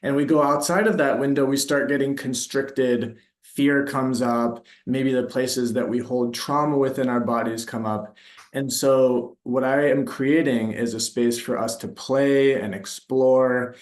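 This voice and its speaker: Man from the United States, therapist type; calm, thoughtful, pauses to find words; echoey room. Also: medium pitch. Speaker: somatic therapist, workshop facilitator. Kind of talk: reflective interview answers. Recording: roomy echo.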